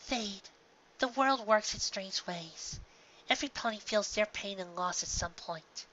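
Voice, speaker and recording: A woman voicing a gentle, grieving young pony mare in a fan audio drama, with a soft, earnest, emotional delivery. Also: mid-range voice, a little slow. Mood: consoling. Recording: dull lo-fi home recording, clear background noise.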